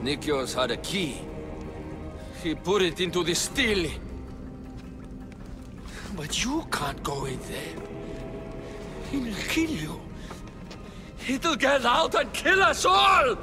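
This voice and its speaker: High, panicked, frightened man. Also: very animated, slow pace, pauses often, heavily acted. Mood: terrified. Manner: frightened, urgent. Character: panicked, fearful man warning of a monster. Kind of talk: video game cutscene acting. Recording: loud music behind.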